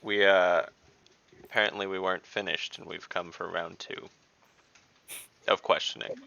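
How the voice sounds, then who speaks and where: A man playing in an online tabletop game, speaking deliberately and thinking his plans through out loud. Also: deep voice, little pitch movement, vocal fry, highs cut off. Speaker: player.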